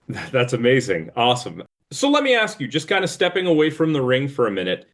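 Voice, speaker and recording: A man's voice: medium pitch, huge pitch swings, fairly quick. Friendly male interview host. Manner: friendly, easy laughs, conversational questions. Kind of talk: casual interview questions.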